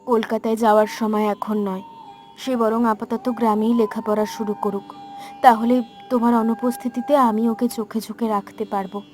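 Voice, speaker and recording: Woman playing a higher-voiced protective village mother. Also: flat delivery, moderate pace, a little mellow, faint music behind, natural voice. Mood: concerned.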